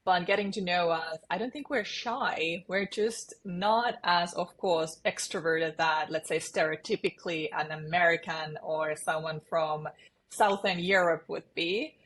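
A woman's voice: lowish voice, measured pace, fairly bright tone. A woman with a Finnish accent, speaking conversationally as an interview guest. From eastern Finland. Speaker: interview guest, sales leader.

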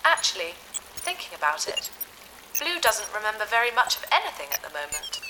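Casting: woman as excitable, childlike spaceship AI, blunt and naive with a villainous streak, very animated, sci-fi audio drama, faint ambient noise. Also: medium pitch, a little fast, natural voice. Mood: playful. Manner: refers to itself by name, naive, blunt.

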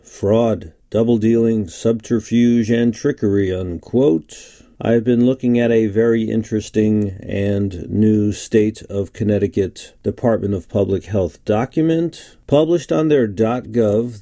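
A man's voice: fairly low pitch, dark tone. A man hosting a podcast, reading a scripted narration in a formal, wordy manner, setting out listed words one by one with emphasis. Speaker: podcast host.

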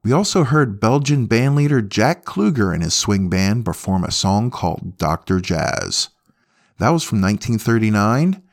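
A man's voice: fairly low pitch, vocal fry. A man hosting a music podcast in a casual, folksy, self-deprecating way. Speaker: podcast host.

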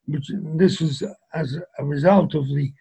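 An older man with a British accent, a rabbi reminiscing conversationally as a guest, halting and repeating his words. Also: on the high side, fairly even intonation, creaky, dark tone, husky. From England.

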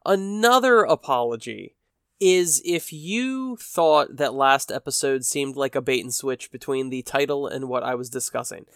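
A man's voice: medium pitch, expressive, measured pace, a bit bright, clear, resonant tone, no echo, clean studio sound. A man hosting a casual solo podcast, chatting in a rambling, self-deprecating way while sounding a bit stuffy from allergies. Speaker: podcast host.

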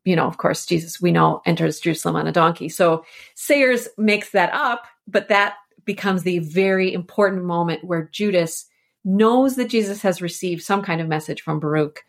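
A woman with a slightly low voice talks as an interview guest. She explains a literary work conversationally, thinking things through and showing real enthusiasm for the subject. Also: moderate pace, creaky. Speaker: interview guest, author and editor. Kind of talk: conversational literary discussion on a podcast.

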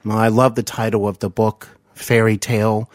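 A man interviewing a guest as a podcast host in a casual, halting, attentive manner. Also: lowish voice, little pitch movement, no room sound.

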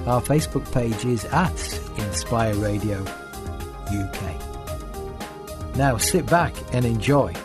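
Man, radio announcer, scripted promo, smooth delivery, loud music bed. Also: medium pitch, expressive, slow pace, long pauses.

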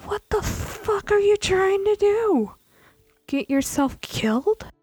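A woman's voice: fairly high pitch, expressive, slow, dry recording, soft background music, exaggerated voice. Woman voicing a cursing male pirate enforcer. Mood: furious. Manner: gruff, cursing, shouting threats. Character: stern, foul-mouthed male pirate enforcer.

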